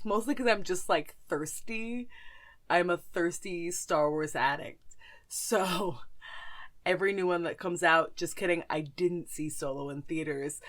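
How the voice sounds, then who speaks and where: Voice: mid-range voice, a bit bright. A podcast host in casual fan chat, gushing and slangy, with frequent swearing.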